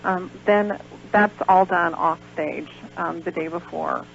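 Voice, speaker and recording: A dull, lo-fi radio recording with some hum and noise carries an American woman's voice, gravelly with fry. She is a guest explaining things step by step, pausing and hesitating as she goes. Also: on the low side, steady tone. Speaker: guest, pageant organizer. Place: radio show.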